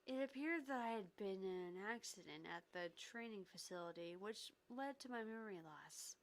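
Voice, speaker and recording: A woman voicing a polite, reflective amnesia patient in a visual novel, reading in a formal, measured way. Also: medium pitch, animated, natural voice, poor audio. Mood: reflective narrating.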